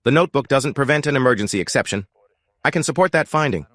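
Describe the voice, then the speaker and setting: A man's voice: medium pitch, expressive, fast talker, fairly bright tone, no echo, studio quality, pitched above the performer's own voice. A man voicing a manipulative male rival who talks in a pushy, persuasive way. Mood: pressuring.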